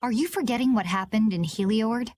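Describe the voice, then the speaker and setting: A woman's voice: a little high, fairly quick, a little mellow, close to an everyday voice. An actress playing a cool, composed and frank woman adventurer in a video game. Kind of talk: video game cutscene acting.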